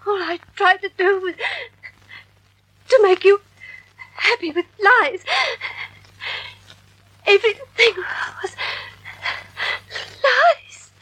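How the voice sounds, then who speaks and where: A woman's voice: extremely high pitch, fairly bright tone, dry recording, full character voice, rough recording. A woman acting a pitiful, distraught accuser with a plaintive wail, in old radio drama style. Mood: wailing grief. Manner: wailing, plaintive. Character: pitiful, distraught woman betraying her domineering companion.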